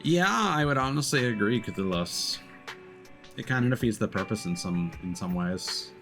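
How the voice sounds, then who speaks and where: Casual male streamer with very lively pitch. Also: moderate pace. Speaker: game streamer. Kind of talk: casual live gameplay commentary. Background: game music.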